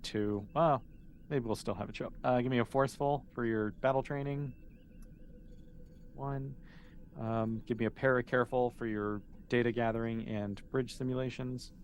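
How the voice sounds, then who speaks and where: A man's voice: medium pitch, fairly even intonation, normal speed. Man running a tabletop game, noisy recording. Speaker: game master. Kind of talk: casual improvised narration of a tabletop role-playing game.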